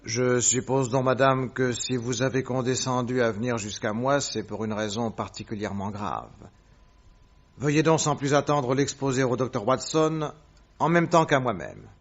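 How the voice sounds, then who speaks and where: Casting: actor as brilliant commanding detective, mid-pitched male voice, courteous but firm, radio-play delivery, band-limited old recording. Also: normal speed, highs cut off, natural voice. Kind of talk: French radio drama acting.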